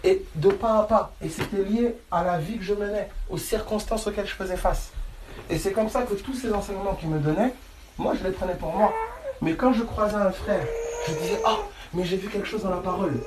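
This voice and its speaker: A man's voice: high-pitched, lively intonation, a little fast. A man preaching informally, insistent and colloquial, repeating phrases and often checking that listeners follow. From France. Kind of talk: informal sermon, Bible teaching. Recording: echoey room, clear background noise.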